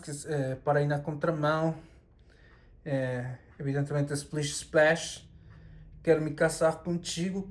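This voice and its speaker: A man, talking casually and unhurriedly through his CD collection, with many pauses and restarts. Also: fairly high pitch. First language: Spanish. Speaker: record collector.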